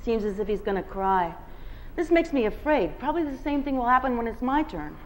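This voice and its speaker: Woman reading a story out loud at a bookstore, slightly high voice, lots of expression. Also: a little fast, a little hiss or hum, low-quality recording. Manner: expressive first-person storytelling. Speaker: guest reader. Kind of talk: reading a novel aloud. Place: bookstore reading before an audience.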